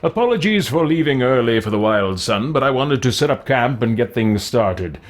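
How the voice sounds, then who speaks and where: A man reading aloud as a loving father writing to his son in a video game, with measured, deliberate phrasing. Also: medium pitch, animated, lightly acted. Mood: fond, instructive.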